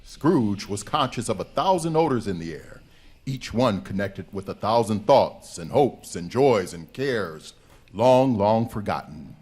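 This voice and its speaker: A man's voice: fairly low pitch, expressive, measured pace, a little mellow, breathy edge. A man narrating a scripted radio drama live, in a theatrical storytelling manner. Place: live stage performance. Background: music.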